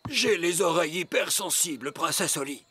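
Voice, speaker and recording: A man's voice: on the high side, moderate pace, noisy background, close to an everyday voice. A man voicing a jolly old elf who loves pranks, speaking in a theatrical, chuckling cartoon manner. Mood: alarmed. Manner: theatrical, flattering, chuckling delivery. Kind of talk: animated cartoon dub.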